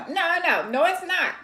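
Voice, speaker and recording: A woman's voice: very high voice, bright, forceful tone. A middle-aged woman co-hosting a casual chat with her daughter, teasing and laughing often. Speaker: co-host, mother of co-host. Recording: echoey room.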